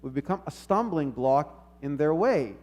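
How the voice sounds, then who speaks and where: A man giving a sermon in a measured, reflective manner, posing rhetorical questions and repeating phrases as he teaches. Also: fairly high pitch, expressive, a little mellow. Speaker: preacher.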